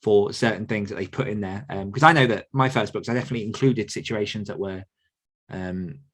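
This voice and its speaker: A man's voice: lowish voice, brisk, fairly bright tone. A man in his thirties, a podcast host, talking candidly and casually about his work, with frequent laughs. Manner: candid, chatty, laughs often. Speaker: podcast host and author coach.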